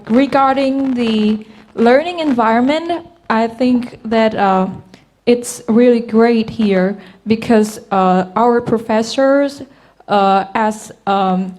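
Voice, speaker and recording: A woman's voice: fairly high pitch, slow pace, darkish tone. A young woman student, a native Vietnamese speaker, sharing her experience hesitantly, with many fillers, on a video call. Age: young adult.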